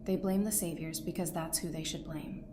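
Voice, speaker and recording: A woman playing a steadfast, compassionate apocalypse survivor in an audio drama, speaking in an earnest, measured, encouraging way. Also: sits low, fairly even intonation, close to an everyday voice. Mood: gentle, earnest. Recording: clearly noisy, ambient background.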